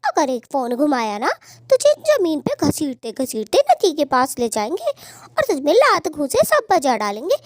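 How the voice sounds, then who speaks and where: Scheming, sharp-tongued cartoon bird wife, played by a woman: very high, animated, scolding. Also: normal speed, no echo, clean studio sound. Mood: angry, scolding. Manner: scolding, threatening. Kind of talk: Hindi cartoon voice acting.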